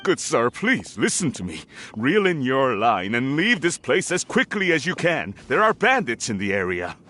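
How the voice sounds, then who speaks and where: An actor playing a reserved middle-aged merchant father in a video game, speaking in a formal, measured, anxious way. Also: mid-range voice, sing-song, bright, forceful tone, slight background noise. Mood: urgent, polite.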